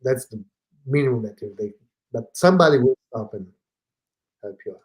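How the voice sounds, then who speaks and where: A man from Italy with an Italian accent, a podcast guest talking casually and haltingly, with many fillers and restarts. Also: mid-range voice, expressive, low-quality recording. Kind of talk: casual conversation.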